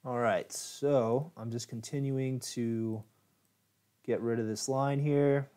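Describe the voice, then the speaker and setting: A man from the United States leads a live software tutorial, chatting loosely as he edits and filling pauses with ums and you-knows. His voice sits at an ordinary middle pitch for a man. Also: steady tone, clean tone. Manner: casual, chatty, many fillers. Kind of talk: live-streamed photo editing tutorial.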